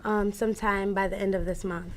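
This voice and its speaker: A teenage girl, a student guest, explaining her school's project in a steady delivery with a few likes. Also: mid-range voice, a little fast. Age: teens. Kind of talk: casual chat.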